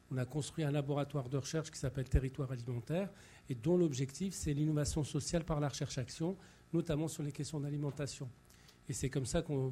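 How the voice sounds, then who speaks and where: A man from Morocco speaks to the public as a researcher and chef. His voice is very soft-edged and dark, set a little higher than average. He talks off the cuff with an activist's message, doubling words as he goes. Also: slight background noise. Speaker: food anthropologist and chef, speaker at a public event. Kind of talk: impromptu public talk, activist.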